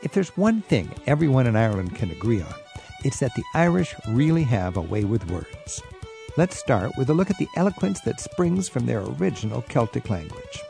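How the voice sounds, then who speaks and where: A man's voice: mid-range voice, gravelly, warm tone. A man hosting a radio travel show, reading a scripted intro and then asking a guest questions in a curious, chatty way.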